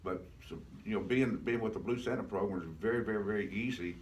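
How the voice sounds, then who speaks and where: A man's voice: fairly low pitch, fast talker, recorded from a distance, a little hiss or hum. An older man from Louisville, Kentucky, answering interview questions in a reflective, folksy way and repeating words for emphasis. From Louisville, Kentucky, United States. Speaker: retired charity founder, interview guest.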